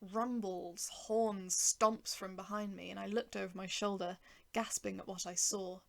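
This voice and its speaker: A woman reads a first-person story in a creaky voice at an ordinary female pitch. Her delivery is wry and sardonic, moving between narration and spoken dialogue. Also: flat delivery, unhurried. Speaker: writer and narrator.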